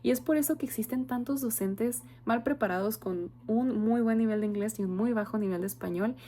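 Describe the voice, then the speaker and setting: A woman's voice: on the high side, brisk, mellow, slight background noise. A young woman with a Mexican accent, a university student giving an earnest, reflective oral presentation with frequent hesitation fillers. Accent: Mexican Spanish.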